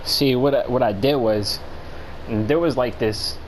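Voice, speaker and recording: An American man chatting casually as he tells a story, with slangy, profane and halting delivery. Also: mid-range voice, a little hiss or hum, rough recording. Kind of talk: casual storytelling chat among friends.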